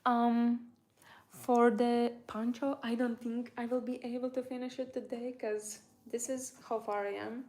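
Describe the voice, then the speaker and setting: A woman's voice: fairly high pitch, level tone, a little slow, darkish tone. Rambling woman. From Latvia. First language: Latvian. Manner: rambling, hesitant, trailing off mid-thought. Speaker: crochet tutorial creator, livestream host. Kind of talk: casual livestream chat.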